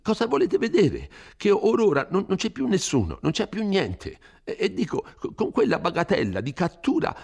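A man putting on a frightened old village priest with a high, strained voice that jumps far above the reader's own and stumbles over repeated words. He scolds and pleads in a husky, lively rush, the speech of a storybook coward. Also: heavily acted. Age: older. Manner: stammering, flustered, pleading. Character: fretful elderly male village priest. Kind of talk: audiobook character voice.

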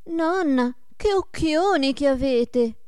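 A woman's voice: sits high, animated, takes it slowly, darkish tone, dry recording, pitched above the performer's own voice. A woman voicing a naive, sweet little village girl in a storybook, innocent and wide-eyed. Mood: wide-eyed wonder.

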